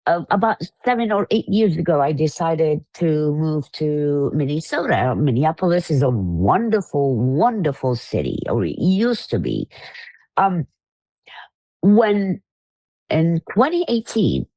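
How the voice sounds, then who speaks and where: A woman in her fifties from Iraq speaks with a dark, mellow voice. She tells her story earnestly, pausing often on fillers. The recording is dry and close. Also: low-pitched, big pitch swings, dry recording. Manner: earnest, halting with many fillers. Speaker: guest. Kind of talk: interview storytelling.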